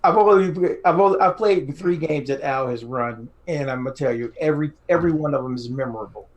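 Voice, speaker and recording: A man's voice: a little high, fairly quick, dull audio. A middle-aged man co-hosting a podcast, talking casually and effusively, repeating phrases for emphasis. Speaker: podcast co-host. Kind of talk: casual enthusiastic group chat.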